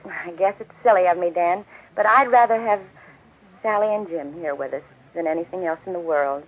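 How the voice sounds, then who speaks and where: A woman's voice: on the low side, moderate pace, lightly acted. An American actress playing a fond, mildly exasperated middle-aged mother in 1940s radio acting style. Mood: wistful, moved. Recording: muffled, narrow 1940s radio recording.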